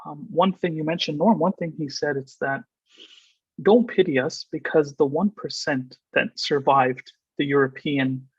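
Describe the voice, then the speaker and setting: Guy hosting a panel, husky voice, muffled dry call audio. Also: on the high side, fairly even intonation, a little slow, no room sound. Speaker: panel host. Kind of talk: thanking a speaker at an online panel.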